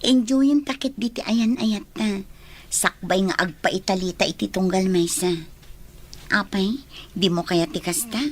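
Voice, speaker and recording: A woman playing a guarded, quick-tempered young woman in a radio drama, with sharp, defensive outbursts. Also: medium pitch, natural voice.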